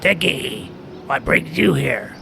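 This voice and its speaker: Give this a sweet, salty lunch lady acted by a man who dotes in a folksy, flirty way. The voice is creaky. Outdoor noise is clearly audible behind it in this audio drama. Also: on the high side, big pitch swings, slow, exaggerated voice. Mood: doting. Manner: affectionate, folksy.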